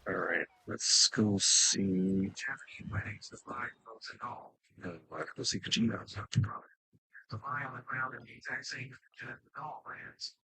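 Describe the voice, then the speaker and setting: A man's voice: medium pitch, moderate pace, gravelly, sharp tone, a little hiss or hum, rough recording. A man giving casual, off-the-cuff reactions as a game streamer.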